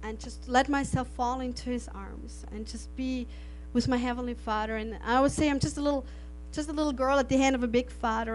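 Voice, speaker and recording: A woman's voice: on the high side, darkish tone, a little hiss or hum, rough recording. A middle-aged woman from Austria, a native German speaker, giving an earnest missionary testimony with frequent laughs and restarted phrases. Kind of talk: testimony to an audience.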